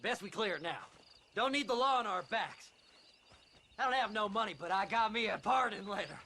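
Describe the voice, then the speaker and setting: Very high, sharp-voiced eccentric drifter. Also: male voice, expressive, brisk, slight background noise, exaggerated voice. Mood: eager, twitchy. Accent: American. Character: shabby, eccentric male Old West drifter. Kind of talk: video game cutscene acting.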